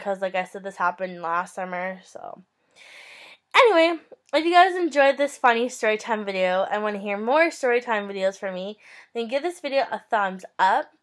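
A young woman telling a story to her webcam in a casual, upbeat vlog, gesturing as she talks from a small bedroom. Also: mid-range voice. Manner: chatty, upbeat, animated hand gestures. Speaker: vlogger. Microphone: webcam at close range.

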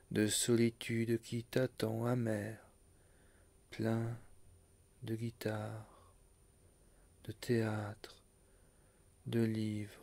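A man reading poetry aloud in a literary recitation, phrasing deliberately in short, separated groups of words. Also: lowish voice, gravelly, a little mellow. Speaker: poetry reader.

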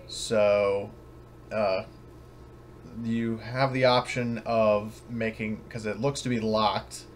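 A man narrating a tabletop game as game master in a casual, halting, self-correcting way. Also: fairly low pitch. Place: at home, online voice session. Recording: clearly noisy home recording.

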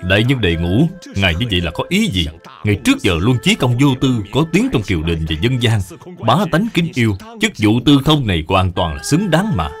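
A man's voice: lowish voice, huge pitch swings, brisk, faint music behind. A man voicing a stately old imperial envoy in a cartoon, formal and declamatory. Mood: puzzled, formal.

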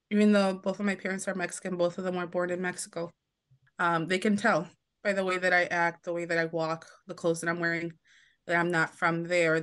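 A woman guest on a podcast, talking at length in a casual, storytelling way. She restarts her sentences often and slips into the voices of the people she quotes. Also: fairly low pitch. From United States. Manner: chatty, many restarts, acts out quoted voices. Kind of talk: casual interview chat.

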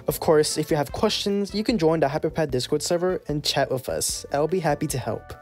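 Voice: mid-range voice, darkish tone. Tutorial host, mid-range voice, casual and playful delivery, scripted explainer, music in the background. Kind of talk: scripted software tutorial narration.

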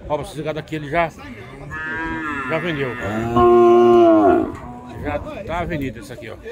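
A man's voice: fairly high pitch, fairly even intonation, a little slow. A man from Northeast Brazil walking around outdoor cattle pens, chatting to viewers and calling out prices on his phone camera. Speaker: vlogger showing a cattle market. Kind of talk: casual walk-around commentary. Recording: crowd noise of a busy market.

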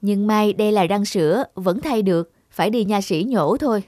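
A woman playing a caring young older sister in a cartoon, speaking in a gentle, coaxing manner. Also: mid-range voice, normal speed, no room sound, clean studio sound, natural voice. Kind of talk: cartoon voice acting.

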